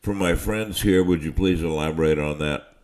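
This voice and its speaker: A man's voice: sits low, moderate pace, a little mellow. A man hosting a radio talk show, folksy and affirming toward his guest. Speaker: radio host.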